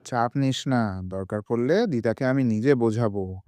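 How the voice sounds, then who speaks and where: A man playing a caring young elder brother in an audio drama, with a gentle, teasing way of talking. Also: mid-range voice, normal speed, in a large hall, close to an everyday voice. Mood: gentle, coaxing.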